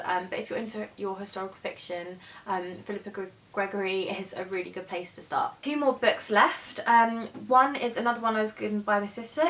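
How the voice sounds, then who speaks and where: A young woman in her twenties, chatting casually and a little hesitantly as she reviews books she has read. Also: a bit bright. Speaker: book vlogger. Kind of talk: casual book review chat. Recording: voice from a distance over faint rumble.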